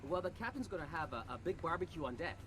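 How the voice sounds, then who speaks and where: An actor playing a young navy sailor who talks in a casual, easygoing way. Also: male voice, a little high, expressive, quick pace, lightly acted. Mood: cheerful.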